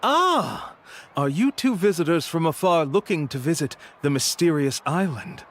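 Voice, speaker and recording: A man playing a smooth-talking scammer who poses as a tour guide in a video game, with florid, persuasive patter. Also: fairly high pitch, big pitch swings, normal speed, noisy background. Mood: ingratiating. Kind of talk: animated video game dialogue. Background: music.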